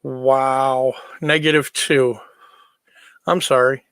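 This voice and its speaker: A man's voice: medium pitch, level tone, drawn-out words, a bit bright, clean tone, no room sound, clean studio sound. A man chatting casually on a livestream, easygoing and informal as he replies to viewers. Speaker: livestream host.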